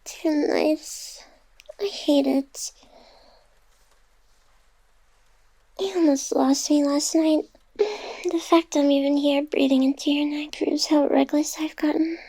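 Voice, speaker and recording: Woman acting a high-voiced, guarded yet smitten AI girlfriend. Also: steady tone, a little slow. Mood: soft, confessing. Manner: tsundere, teasing, flustered denials over soft confessions. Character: playful guarded female AI, secretly tender and smitten. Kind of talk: intimate ASMR roleplay acting.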